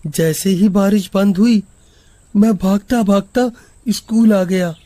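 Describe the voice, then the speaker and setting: A man's voice: high voice, steady tone, takes it slowly, dark tone, dry recording. A man voicing an anxious, pleading young schoolboy in a storybook horror tale, with an earnest delivery. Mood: apologetic. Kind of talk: animated story dubbing in Hindi.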